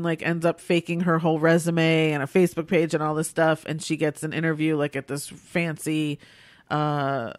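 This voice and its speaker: A woman's voice: deep voice, no room sound. Woman, nasal, congested, chatty podcast co-host, dry close sound. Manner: chatty, rambling, laughs often. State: sick, congested. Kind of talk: casual chat reviewing movies and TV.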